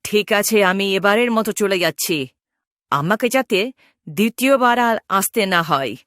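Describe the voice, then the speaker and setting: A woman's voice: medium pitch, lively intonation, a little slow, fairly bright tone, no echo, studio quality. Stern, protective she-ghost, acted by a woman. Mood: stern, threatening. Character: protective female ghost, stern mother, menacing to wrongdoers. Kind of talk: Bengali cartoon voice acting.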